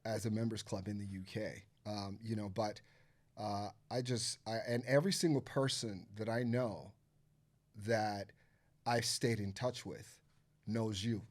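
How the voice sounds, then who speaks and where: A man's voice: lowish voice, steady tone, a little slow. Loose, swearing Nigerian-born man with American accent. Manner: loose and profane, heavy on filler phrases. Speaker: podcast guest. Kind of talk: casual conversation.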